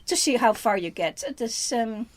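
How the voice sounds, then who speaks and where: A Dutch woman with a fairly high voice, speaking English as a second language. She co-hosts a film review in a relaxed back-and-forth, often restarting her sentences as she works out a point. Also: normal speed. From Netherlands. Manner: thinks aloud with frequent restarts. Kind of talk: casual chat.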